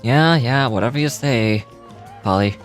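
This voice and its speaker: A man plays a blunt game warrior with a medium-pitched voice. He sounds dry, sarcastic and exasperated, over clearly audible music. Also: measured pace, lightly acted. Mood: mocking. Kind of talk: video game voice acting.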